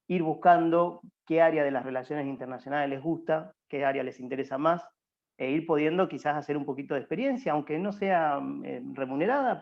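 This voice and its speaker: A man's voice: on the high side, creaky, dull audio. A young man with an Argentine accent giving rambling, informal career advice to students as a workshop panelist over a video call, with many fillers and restarts. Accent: Argentine Spanish.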